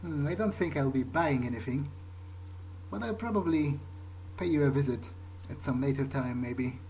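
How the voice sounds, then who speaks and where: Slow talking guy doing in-character game monologue, halting and thoughtful, dark soft-edged voice, faint bg noise. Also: mid-range voice, poor audio. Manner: hesitant, musing, halting. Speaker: gamer role-playing his character in a playthrough. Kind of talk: improvised in-character monologue over a video game.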